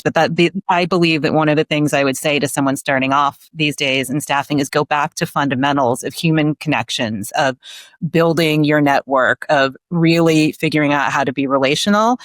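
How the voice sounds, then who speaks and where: A woman's voice: low voice, dry recording. A middle-aged woman giving candid, conversational commentary as an interview guest, talkative and full of asides. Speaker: staffing industry researcher, podcast guest.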